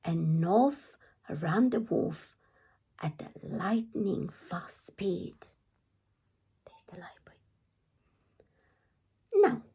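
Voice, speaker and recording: A woman reading a rhyming children's storybook aloud as an expressive storytime narrator. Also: on the low side, gravelly, husky. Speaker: storytime reader.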